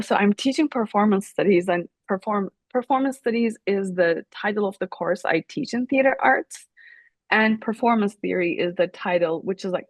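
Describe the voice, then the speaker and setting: A woman's voice: medium pitch, no room sound. Woman, native Turkish speaker, academic interview, hesitant fillers, dry room. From Turkey. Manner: thoughtful, many fillers and restarts. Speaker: professor.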